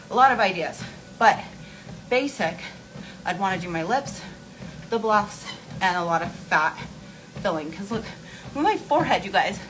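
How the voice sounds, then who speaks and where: A woman's voice: mid-range voice, a bit bright, slight background noise, poor audio. A forty-year-old woman hosting a casual live stream, chatting candidly and informally to her followers with emphatic repetition. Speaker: host of a beauty and wellness live stream.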